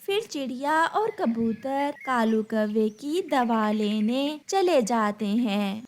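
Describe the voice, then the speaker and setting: A woman's voice: a little high, animated, takes it slowly, slight background noise. A woman giving scripted narration for a children's cartoon story.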